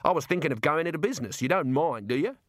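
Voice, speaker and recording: A hearty jailer from a comic storybook, played by a male narrator, chummy and admiring. He talks colloquially with raised, swooping pitch in a dry, close sound. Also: lively intonation, fast talker, dry recording, lightly acted. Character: cheerful, matey male prison jailer, rough and admiring.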